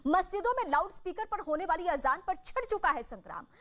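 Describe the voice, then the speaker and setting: A woman with a high voice moderates a televised news debate. She presents like a live anchor, with emphatic, formal delivery. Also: very animated, fairly quick.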